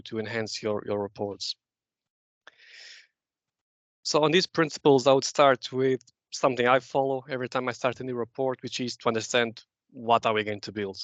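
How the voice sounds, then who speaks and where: A man's voice: mid-range voice, fairly bright tone, dry recording, dull audio. A man from Portugal presenting a data visualisation talk to a user group, explaining with frequent "uh" restarts. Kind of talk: conference talk with slides.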